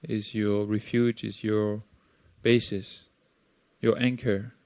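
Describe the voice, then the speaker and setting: Slow calm meditation teacher, man. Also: lowish voice, no room sound. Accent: Sri Lankan. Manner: slow, calm, gently instructive with long pauses. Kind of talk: guided meditation.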